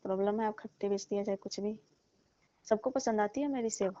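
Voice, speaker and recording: A woman's voice: mid-range voice, a little fast, dark tone, dry recording, close to an everyday voice. A woman playing a chatty, defensive fruit-seller auntie in a comic phone call, talking colloquially and repeating herself. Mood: mildly protesting. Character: chatty, defensive middle-aged auntie who sells fruit. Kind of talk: staged comic phone conversation, casual chat. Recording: muffled lo-fi call recording with hiss.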